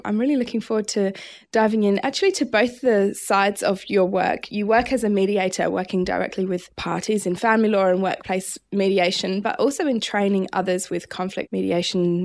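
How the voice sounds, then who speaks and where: A woman's voice: mid-range voice, gravelly, dry recording. An Australian woman hosting a podcast, introducing the episode and guest in an articulate, enthusiastic way. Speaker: podcast host.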